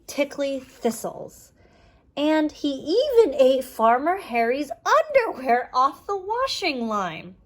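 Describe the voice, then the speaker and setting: A woman reading a children's storybook aloud in an animated narrating style. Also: on the high side. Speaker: children's audiobook reader.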